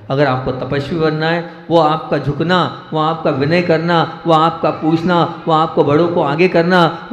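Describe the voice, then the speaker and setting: A male Jain monk delivering a sermon to a gathered audience, asking rhetorical questions and repeating phrases for emphasis, swinging between raised emphatic lines and quiet asides. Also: on the high side. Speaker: Jain monk giving a discourse. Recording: echoey hall with long reverb.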